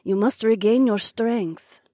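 A male narrator voicing a calm, clever young cat-woman with a smooth, even delivery. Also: high voice, slow pace, no echo, clean studio sound, pitched above the performer's own voice.

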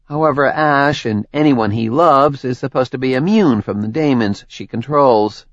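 A male narrator voicing a cheerful, gently teasing young woman with a light, dryly sarcastic manner. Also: mid-range voice, expressive, a little slow, no room sound. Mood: chatty. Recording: dry, slightly dull audiobook recording.

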